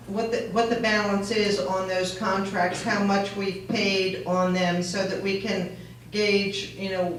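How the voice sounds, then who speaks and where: A woman speaks in a low, flat, even voice, pausing hesitantly with frequent fillers. She is heard from a distance in a very echoey meeting hall with faint noise behind. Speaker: town commissioner at a public meeting. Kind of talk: town meeting remarks.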